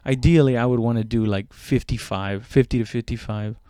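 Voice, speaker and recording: A man talking in a casual, rambling podcast monologue, halting and conversational, with frequent swearing. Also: mid-range voice, warm tone, dry recording, studio quality. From immigrant, moved abroad at seven. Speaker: stand-up comedian podcast host.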